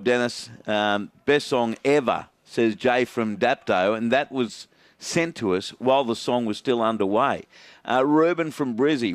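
A man's voice: mid-range voice, no room sound. A man with an Australian accent hosting a radio sports show, bantering and reading out listener texts. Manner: laughing, quick, chatty.

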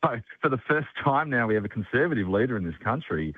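A man's voice: mid-range voice, sing-song, fast talker, husky, no echo. A male political consultant giving analysis on talk radio, hesitant, with many fillers and restarts. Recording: tinny phone line.

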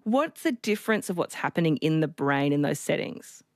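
A woman with an Australian accent hosting a talkback radio show, interviewing guests and callers in a brisk, friendly way. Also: sits low, very animated, a little mellow, dry recording, studio quality. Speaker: radio host.

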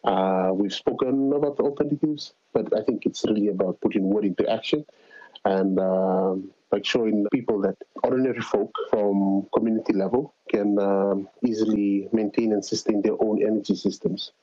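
A man's voice: on the low side, steady tone, normal speed, dark tone. A man with a Fijian accent answering casually as an interview guest, speaking as a climate organizer over a remote call. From Fiji. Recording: dull, roomy call audio.

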